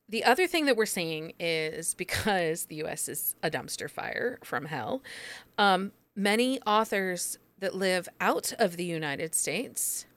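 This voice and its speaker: A woman's voice: on the low side, fairly bright tone. A woman in casual conversation who leads the talk, opinionated and outspoken. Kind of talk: casual chat about book signings.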